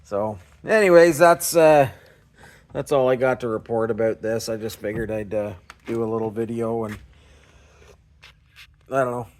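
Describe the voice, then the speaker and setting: A man's voice: medium pitch, normal speed, pauses often, darkish tone. A Canadian man talking in a casual, unscripted vlog style, rambling and hesitant in a self-effacing way. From Canada, small-town Ontario. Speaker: scrap recycling vlogger.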